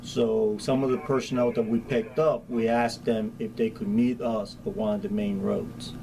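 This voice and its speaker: A man's voice: medium pitch, moderate pace. Spanish-accented middle-aged man over background noise. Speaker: county hazardous materials chief being interviewed. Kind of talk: informal interview answers. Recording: clear steady background ambient noise.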